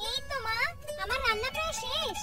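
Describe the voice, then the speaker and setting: Acted young cartoon daughter-in-law, extremely high voice, dutiful, meek, distant under loud music. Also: fairly quick. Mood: obliging. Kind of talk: cartoon voice acting.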